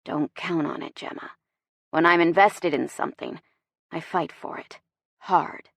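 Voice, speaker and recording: Female narrator as brooding male love interest, gruff, hushed, deliberate, confident, romance audiobook, dry sound. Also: low voice, fairly even intonation, no echo, full character voice. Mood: low, determined. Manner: murmuring, deadly serious, deliberate. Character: confident, intense man, seductive brooding suitor.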